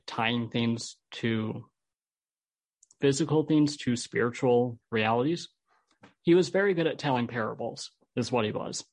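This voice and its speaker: Gravelly American man, mid-pitched. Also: no echo. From Holland, United States. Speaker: podcast guest. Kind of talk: personal storytelling in an interview.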